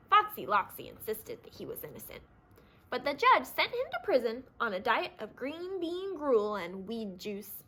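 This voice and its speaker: High-voiced woman reading a storybook, animated. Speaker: storybook reader. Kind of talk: expressive scripted children's read-aloud.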